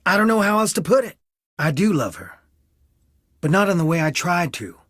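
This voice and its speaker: A man's voice: high-pitched, sing-song, normal speed, fairly bright tone, no echo, heavily acted. A young man from a well-off family, torn and wounded, in a dramatic video game. He speaks earnestly, with emotional strain, in a dry, close recording. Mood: conflicted, wistful.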